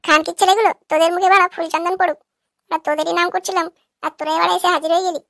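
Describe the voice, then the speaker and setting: A performer voicing a foul-mouthed old village grandmother in a cartoon, sharp and nagging. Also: very high voice, steady tone, racing, vocal fry, no echo, clean studio sound, exaggerated voice. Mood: angry.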